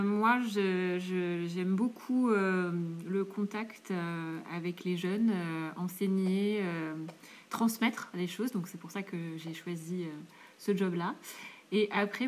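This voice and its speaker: A woman English teacher answering casually, stammering and filling pauses often. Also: fairly low pitch, darkish tone. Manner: hesitant, stammering with many fillers. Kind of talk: casual spoken interview answer.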